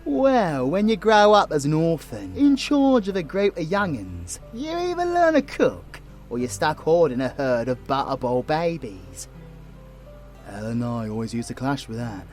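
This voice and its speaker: A man's voice: fairly high pitch, very animated, moderate pace, darkish tone, soft background music. A British man playing a flirtatious, protective super villain in an audio roleplay, teasing and comforting a loved one with affectionate slang. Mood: wry, reflective.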